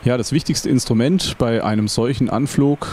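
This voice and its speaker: A man in his forties, an airline captain, calmly explaining a flight in a measured, reflective voice-over. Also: medium pitch, slight background noise. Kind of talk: calm explanatory voice-over commentary.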